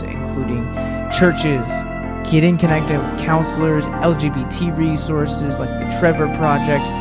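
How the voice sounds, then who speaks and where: Earnest man speaking over prominent music. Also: on the high side. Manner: sincere, direct address to listeners. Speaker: podcast host. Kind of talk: earnest scripted public service message.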